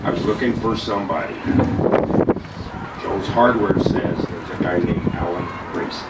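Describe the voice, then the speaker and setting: Loud-voiced middle-aged man, blunt and rambling memorial speaker; distant mic, roomy echo. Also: deep voice, moderate pace. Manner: rambling, blunt, swears freely. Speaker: eulogy speaker. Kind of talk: unscripted memorial tribute. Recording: echoey room, mic far off, loud noise.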